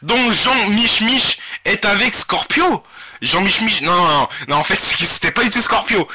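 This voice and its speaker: A man hosting a live webradio prank show, talking in a crude, slangy, excitable way. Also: high-pitched, a little slow, heavy background noise. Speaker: webradio host and prank caller. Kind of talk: informal live radio prank-call show banter. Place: webradio studio.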